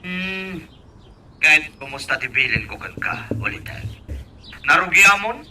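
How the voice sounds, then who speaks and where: A man's voice: fairly high pitch, very animated, a little slow, sharp tone, full character voice. A man acting a pushy, suspicious boss who demands answers in an Ilocano radio drama. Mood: nagging. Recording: distant microphone.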